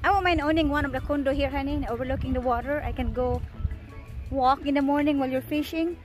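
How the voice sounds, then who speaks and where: A woman's voice: high voice, fairly even intonation, fast talker, faint music behind. A woman chatting on a resort walking tour, exclaiming often, recorded outdoors on a handheld camera. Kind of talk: casual chat with her husband.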